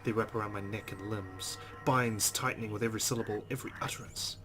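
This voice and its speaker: A man with a fairly low voice narrates a visual novel as a let's play host, dropping in his own asides. Music plays softly behind him. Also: steady tone, quick pace.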